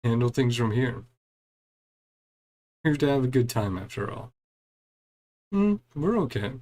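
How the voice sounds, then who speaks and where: A man's voice: mid-range voice, sing-song, measured pace, a little mellow. A man giving casual commentary on a game as he plays, musing aloud and reading bits of dialogue. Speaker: gaming streamer.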